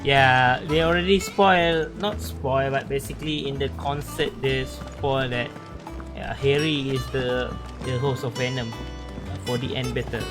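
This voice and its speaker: A man's voice: medium pitch, fairly even intonation, unhurried. A man giving casual live commentary as he streams a video game. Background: game music with plucked strings.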